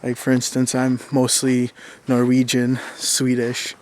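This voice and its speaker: A man's voice: mid-range voice, completely flat delivery, unhurried, a little mellow. A young man from southern Minnesota with a Minnesotan accent, rambling casually to camera with many fillers and restarts. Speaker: vlogger. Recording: faint background noise.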